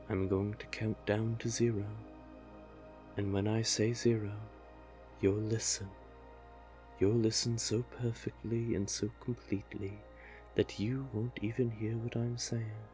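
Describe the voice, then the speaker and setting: A man's voice: on the low side, flat delivery, dark tone, soft background music. A man voicing a doting, possessive, manipulative male sea witch in an intimate audio roleplay, coaxing the listener tenderly. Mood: hypnotic, coaxing. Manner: coaxing, unhurried, tender with pet names.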